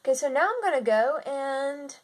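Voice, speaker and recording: Rambling young woman, higher voice. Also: animated, moderate pace. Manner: rambling, many restarts and "like" fillers. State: slightly raspy from seasonal congestion. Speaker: vlogger. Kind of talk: casual video diary.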